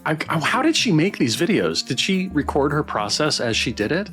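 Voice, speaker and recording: A man's voice: on the high side, very animated, normal speed, fairly bright tone, faint music behind. A man hosting a casual livestream interview, often repeating words as he goes.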